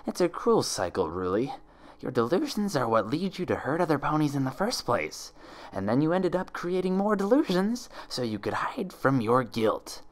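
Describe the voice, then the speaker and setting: A man voicing a cruel, mocking female impostor pony who speaks with slow disdain in a story reading. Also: mid-range voice, sing-song, voice pushed up, lightly acted. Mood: cruel taunting. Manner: disdainful, slow taunting, hissing.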